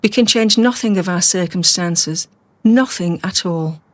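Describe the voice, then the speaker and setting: A woman's voice: fairly low pitch, dry recording, lightly acted. An actress as a coolly composed captive woman. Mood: calm, coaxing. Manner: measured, matter-of-fact, precise. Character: composed, rational captive mother, coolly detached. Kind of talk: radio drama acting.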